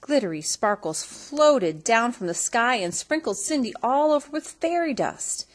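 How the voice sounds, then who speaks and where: A woman reading a children's story aloud in an expressive storytelling style. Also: a little high, unhurried. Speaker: storybook reader.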